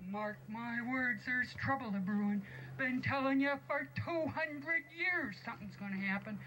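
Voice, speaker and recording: High, squeaky little dwarfs from a storybook, stammering and shrill as they scramble about in a panic, all put on by a woman reading aloud. The voices jump high above her usual range. Background noise hums through the home recording. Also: normal speed, natural voice. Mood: alarmed.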